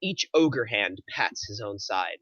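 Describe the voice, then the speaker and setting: A man's voice: on the low side, animated, slow, a bit bright, dry recording, lo-fi sound. A man reading screenplay stage directions aloud as the narrator of a table read.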